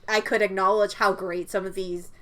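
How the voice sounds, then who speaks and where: A woman's voice: mid-range voice, level tone, normal speed, pushed and bright. A woman whose first language is Cantonese, co-hosting a podcast in a chatty, laughing way. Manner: chatty, laughs often, restarts words. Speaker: podcast co-host. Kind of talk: casual film discussion.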